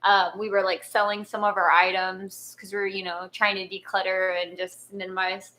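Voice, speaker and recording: A chatty woman co-hosting a casual conversation. Her voice is bright and forward at an ordinary pitch, and she rambles through thoughts with plenty of fillers and restarts. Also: little pitch movement, moderate pace, rough recording. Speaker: co-host. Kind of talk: casual chat.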